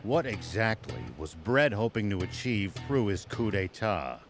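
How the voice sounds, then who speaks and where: A man's voice: fairly low pitch, lively intonation, measured pace, faint music behind. A man playing a composed, sensible old veteran general in a video game. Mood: probing.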